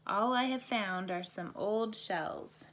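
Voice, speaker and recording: A woman's voice: medium pitch, takes it slowly, fairly bright tone, slight background noise, low-quality recording. A woman voicing a patient, easygoing father bear in a storybook, explaining things without hurry.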